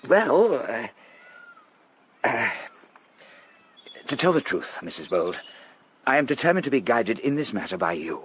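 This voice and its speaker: An actor playing a languid, feckless English gentleman in a period radio drama, hesitant and politely self-deprecating. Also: mid-range voice, big pitch swings, measured pace, long pauses, slight background noise. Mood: awkward, confiding.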